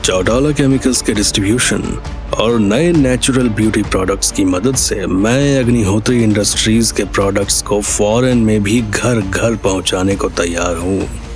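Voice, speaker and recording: A shrewd rival businessman in an audio drama , acted by a man. He sounds condescending and self-assured, in a lowish voice over clear background music. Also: moderate pace, close to an everyday voice. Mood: smooth, confident. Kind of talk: Hindi audio drama acting.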